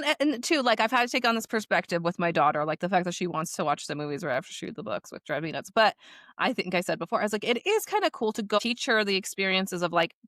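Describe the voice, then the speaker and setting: A woman's voice: fairly low pitch, a bit bright, no room sound, clean studio sound. A woman co-hosting a podcast, talking casually and conversationally. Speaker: podcast co-host.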